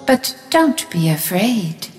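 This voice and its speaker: A woman voicing a vast, calm wind spirit in a storybook, speaking in a slow, solemn chant. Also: medium pitch, big-room sound, soft background music.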